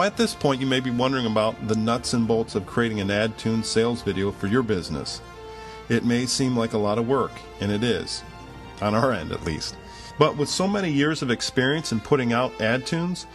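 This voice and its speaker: A man's voice: medium pitch, fairly bright tone. A man reading a scripted sales narration in a persuasive, rhetorical way. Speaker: marketer narrating a promotional video. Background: background music.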